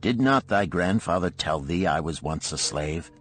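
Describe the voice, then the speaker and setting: A man playing a dignified old merchant who tells his past in measured, formal, archaic speech, in an audiobook. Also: sits low, expressive, a bit bright, husky, faint music behind, exaggerated voice. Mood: earnest, confiding.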